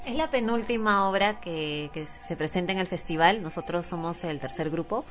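A woman's voice: fairly low pitch, moderate pace, a bit bright, soft background music. A woman co-hosting a radio talk show, laughing easily and reading listener emails in a matter-of-fact way.

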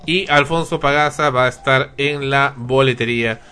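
Bright-voiced radio host. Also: male voice, mid-range voice, steady tone, moderate pace. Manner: repeats himself, joking. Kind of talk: casual radio chat.

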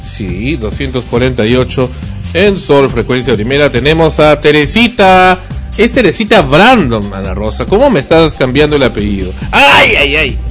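A man's voice: mid-range voice, big pitch swings, moderate pace, fairly bright tone, soft background music. A man hosting a radio talk show, chatty and joking, with many fillers. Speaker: radio show host and director. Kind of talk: casual radio chat.

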